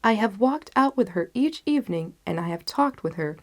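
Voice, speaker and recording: A woman reading the part of a confident, determined gentleman detective in a storybook, with emphatic, articulate delivery. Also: medium pitch, expressive, normal speed, natural voice. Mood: amused, confident. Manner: emphatic, articulate, self-assured.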